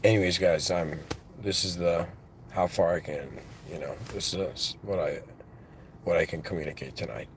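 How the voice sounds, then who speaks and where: A man with an accent in English, giving a reflective spiritual monologue as a channel host, halting and musing, with restarts and devout phrases. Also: low voice, slight background noise, low-quality recording. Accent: non-native English.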